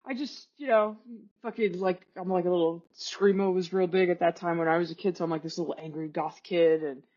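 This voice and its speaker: A woman's voice: fairly low pitch, fairly even intonation, fairly quick, darkish tone. A woman with a German accent chatting casually as a podcast guest, blunt, irreverent and sweary, on a dull-sounding recording. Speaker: podcast guest, self-taught artist. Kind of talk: casual chat. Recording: dull, band-limited voice track.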